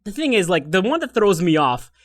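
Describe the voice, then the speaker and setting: A man's voice: high voice, very animated, brisk. A man with an American accent in casual podcast chat, talking in a slangy, profane, joking way. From United States. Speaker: podcast co-host.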